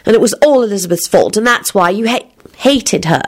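A woman's voice: medium pitch, lively intonation, a little fast, pitched above the performer's own voice. A woman voicing an anxious, stubborn girl with a worried, insistent manner in a dramatized storybook reading. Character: anxious, stubborn girl who dithers yet acts defiant.

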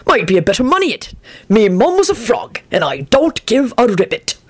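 A woman's voice: a little high, sing-song, a little fast, slightly rough, pitched above the performer's own voice, exaggerated voice. A woman voicing a sly, money-minded male wizard in a story, flippant and joking. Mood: sly, amused.

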